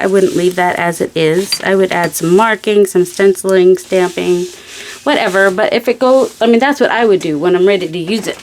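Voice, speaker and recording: A woman's voice: mid-range voice, background noise. A woman hosting a craft video, chatting casually and rambling to herself with playful self-scolding while she works, over clicks and crinkling paper.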